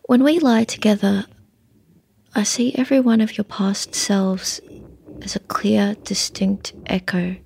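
A woman reading her own literary story in a measured way, often dropping to a breathy near-whisper. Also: mid-range voice, a little mellow, slight background noise.

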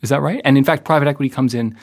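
A man interviewing a researcher, enthusiastic and quick to affirm, asking eager questions. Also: medium pitch. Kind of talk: conversational interview.

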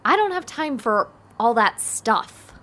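Audio drama: unsentimental teenage girl, blunt, dry, matter-of-fact delivery, pitched a little high. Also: very animated, slow pace, sharp tone, full character voice. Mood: firm, blunt. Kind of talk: naturalistic audio drama acting.

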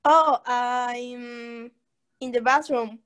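A young caller on a video call, answering in short, stilted phrases with a fake put-on accent. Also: female voice, on the high side, steady tone, a bit bright, clean tone. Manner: halting, odd stilted replies in a put-on accent. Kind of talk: prank call over video chat.

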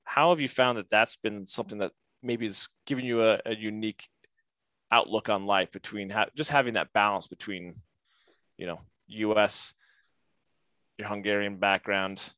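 A man's voice: lowish voice, fairly even intonation, normal speed, pushed and bright, smooth. A man hosting an interview, attentive and affirming, asking follow-up questions. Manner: attentive, affirming, frequent listening sounds. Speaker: podcast host. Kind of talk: interview host.

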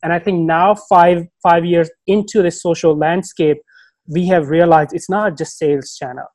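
A man's voice: a little high, steady tone, moderate pace, a little mellow, no echo. A man as a podcast guest, talking casually and thoughtfully, often stopping to restart his sentences. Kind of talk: casual conversation.

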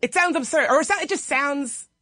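A woman co-hosting a podcast, slightly hoarse from a cold, with a raspy, smoky voice in a chatty, animated manner. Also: high-pitched, fairly quick, no echo, studio quality. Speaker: podcast co-host. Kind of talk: casual chat.

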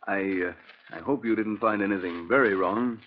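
An actor playing a worried, earnest husband in a hesitant 1940s radio drama style. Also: male voice, on the low side, moderate pace, a little hiss or hum, natural voice. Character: anxious, devoted husband of an ailing wife. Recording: dull old 1940s radio recording.

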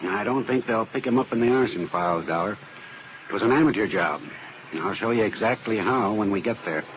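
Man doing a steady arson expert, lowish voice, old radio hiss and muffle. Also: brisk, natural voice. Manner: matter-of-fact and explanatory. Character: methodical male fire investigation specialist. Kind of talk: 1950s radio drama acting. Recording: muffled, hissy vintage radio broadcast.

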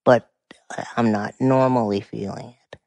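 A man in his forties from Montana with a quiet voice, calmly explaining things as a podcast guest. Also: mid-range voice, normal speed, creaky, no echo. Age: 40s. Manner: calm, explanatory. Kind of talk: casual conversation.

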